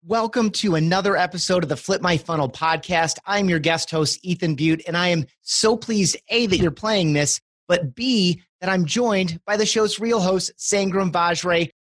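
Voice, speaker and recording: A man's voice: sits high, normal speed, few pauses, fairly bright tone, dry recording. A man speaks as a podcast interviewer, conversational and encouraging. He phrases things articulately. The recording is dry and close, with no room sound around him. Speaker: guest podcast host, interviewer.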